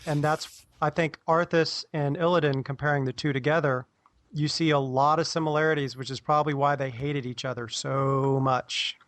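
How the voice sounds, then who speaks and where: A man's voice: fairly high pitch, fairly even intonation, normal speed, fairly bright tone, no room sound. A man on a podcast panel, giving his opinion in casual conversation.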